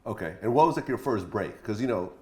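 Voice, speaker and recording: Fast, animated male interviewer. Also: fairly low pitch. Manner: colloquial, probing with follow-up questions. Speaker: interviewer, host. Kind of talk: casual interview questioning. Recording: echoey room.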